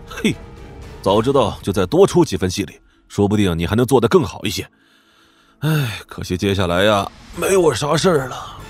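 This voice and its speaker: Grumpy cocky kung fu master muttering to himself, low swoopy voice, music behind. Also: male voice, sing-song, moderate pace. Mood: wry regret. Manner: muttering, wry. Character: irritated, cocky veteran martial arts master, muttering grumpily. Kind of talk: Chinese wuxia audio drama acting.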